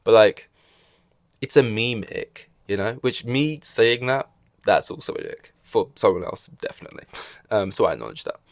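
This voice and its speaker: A man's voice: on the low side, expressive, moderate pace, no room sound, clean studio sound. A young man hosting a casual solo podcast, rambling with wry asides and a slightly scratchy throat. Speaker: podcast host.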